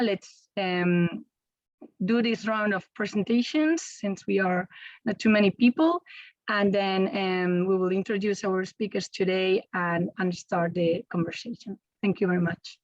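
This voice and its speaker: A woman's voice: medium pitch, dry recording. Woman opening an online meeting. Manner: quick, corrects herself, restarts. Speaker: event organizer. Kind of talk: opening remarks on a call. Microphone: video call. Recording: muffled video call audio.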